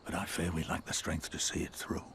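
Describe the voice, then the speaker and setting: An actor playing a weary, stern older rebel leader in a game cutscene, speaking gravely and bitterly. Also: male voice, extremely low pitch, monotone, brisk, background noise. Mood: doubtful. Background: orchestral game score.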